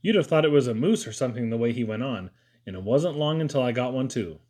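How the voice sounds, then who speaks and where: A man's voice: medium pitch, animated, fast, darkish tone. A man reading a first-person story aloud as an audiobook narrator. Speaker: audiobook reader.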